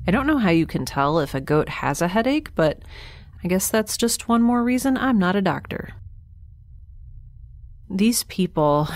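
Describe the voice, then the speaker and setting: A woman acting as a weary female scientist stranded in space, recording her log in a low voice. She is dry and wordy, choosing words haltingly, with faint noise around her in this audio drama. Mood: frustrated.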